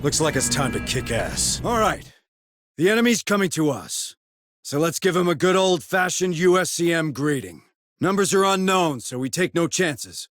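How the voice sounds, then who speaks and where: Gung-ho space Marine officer, music behind. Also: male voice, fairly high pitch, expressive, fairly bright tone, no room sound. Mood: rousing. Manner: brisk, commanding. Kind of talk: dramatic video game acting.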